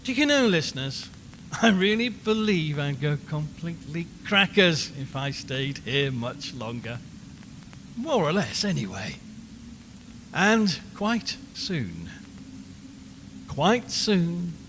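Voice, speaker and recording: A man's voice: a little high, sing-song, slow pace, long pauses, a bit bright, heavily acted. Man acting a nervy radio reporter in echoing room. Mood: fraying, unhinged. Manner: rambling, repeats phrases, nervous forced cheer. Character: unnerved male radio reporter, chatty, slowly losing his nerve. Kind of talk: audio horror drama, live broadcast monologue. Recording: long hollow reverb, clear background noise.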